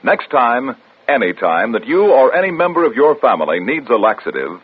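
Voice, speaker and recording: A man's voice: medium pitch, very animated, normal speed, husky. A man reading a scripted vintage radio advertisement as an announcer, with emphatic sales delivery. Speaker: commercial announcer. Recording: tinny old radio broadcast recording.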